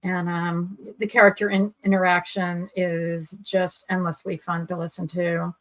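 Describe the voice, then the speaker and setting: A woman's voice: on the low side, little pitch movement, unhurried, rough recording. A woman chatting casually as a regular podcast panelist, giving her opinions in an informal way. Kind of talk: casual review chat.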